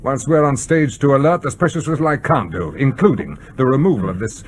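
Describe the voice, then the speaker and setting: A man's voice: mid-range voice, animated, a little fast, close to an everyday voice. A man acts out a menacing submarine commander in a radio play. His voice swings expressively while staying clipped and coldly polite, with faint noise behind it. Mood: cold menace. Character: stern, menacing male nuclear submarine commander interrogating a crewman. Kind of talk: broadcast radio drama acting.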